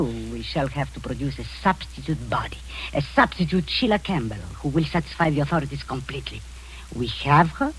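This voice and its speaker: A ruthless older spy hiding behind a doting aunt's manner, in the style of a 1940s radio drama. Her pitch swoops widely as she coos endearments and then turns icy and commanding. A faint hiss sits under the voice. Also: rough recording. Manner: sugary and condescending, turning cold and commanding. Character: scheming older female spy, falsely sweet, ruthless. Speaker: villainous spy posing as an aunt.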